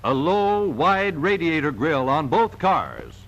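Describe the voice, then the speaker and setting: A man's voice: a little high, sing-song, unhurried, fairly bright tone, muffled recording, a little hiss or hum. A man reading scripted narration for a 1940s sales film in an emphatic, polished salesman's delivery, on a vintage film soundtrack.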